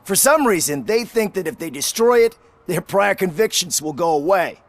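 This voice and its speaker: High-pitched sardonic cop. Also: male voice, lively intonation, moderate pace, no echo. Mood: exasperated, sardonic. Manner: incredulous, sardonic. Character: exasperated male police colleague giving a briefing. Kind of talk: video game voice acting.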